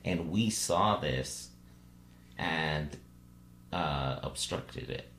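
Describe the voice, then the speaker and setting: A man's voice: drawn-out words, a bit bright. A man co-hosting a casual podcast discussion, musing aloud and often checking in with little tag questions. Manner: musing, tentative, often checking with "right?" and "you know". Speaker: podcast co-host. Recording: roomy echo, low hum and hiss.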